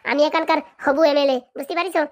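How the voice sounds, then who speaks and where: A woman's voice: sits high, steady tone, fast, natural voice. A woman voicing a greedy, domineering village madam with a rural Bengali accent in a comedy cartoon. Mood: bossy, demanding. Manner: domineering, scolding. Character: bossy, greedy village madam running for election.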